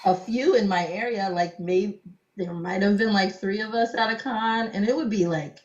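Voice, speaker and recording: A woman's voice: medium pitch, moderate pace. A young woman guest telling stories in a casual interview, laughing often and saying "like" a lot. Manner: chatty storyteller, laughs often, many "like"s. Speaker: cosplayer guest. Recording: dull lo-fi sound.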